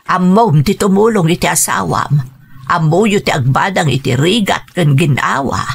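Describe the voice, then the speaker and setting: A woman's voice: fairly low pitch, animated, normal speed, gravelly, slightly rough, exaggerated voice. A woman playing a caring, concerned elderly mother and grandmother in a radio drama, sighing and offering advice. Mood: gently concerned. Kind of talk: Ilocano radio drama acting.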